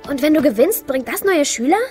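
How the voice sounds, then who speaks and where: An actress voicing a persistent, confident and caring teenage girl in an animated film dub. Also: high-pitched, fairly quick, soft background music. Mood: concerned, determined.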